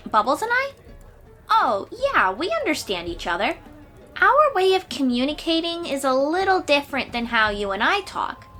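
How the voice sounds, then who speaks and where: A woman's voice: sits high, pushed and bright, heavily acted. A woman playing a confident, eager young sea-dweller warrior in a fantasy audio roleplay, speaking expressively and informally to the listener. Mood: upbeat, friendly. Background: music.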